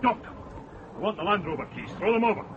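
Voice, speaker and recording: A man's voice: sits high, lively intonation, fast, dull audio, background noise. An actor playing a desperate, armed male killer who snarls curt commands in a radio drama. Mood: menacing.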